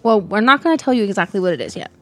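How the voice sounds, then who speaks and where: A woman with a raspy voice co-hosting a podcast in casual chat, upbeat and full of fillers. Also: mid-range voice, lively intonation, rapid-fire, a bit bright. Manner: chatty, filler-heavy, upbeat. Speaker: podcast co-host.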